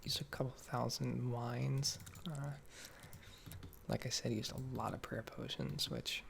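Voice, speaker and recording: Very gravelly, soft-spoken man rambling. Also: mid-range voice, steady tone, unhurried. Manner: hesitant, meandering, understated. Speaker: gaming video creator. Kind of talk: soft-spoken ASMR gameplay ramble, casual. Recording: faint background noise, keyboard typing, clicking. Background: soft computer keyboard typing and mouse clicks.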